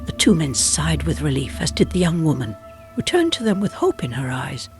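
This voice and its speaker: A man's voice: a little high, sing-song, normal speed. A man reading a scripted folk tale as a dramatic storyteller. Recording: music bed behind the voice. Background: music.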